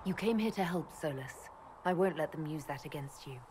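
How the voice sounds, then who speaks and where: Composed young elven mage, noisy backdrop. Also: female voice, low-pitched, lively intonation, normal speed. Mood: earnest, protective. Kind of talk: video game dialogue acting. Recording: clear ambient noise behind game dialogue.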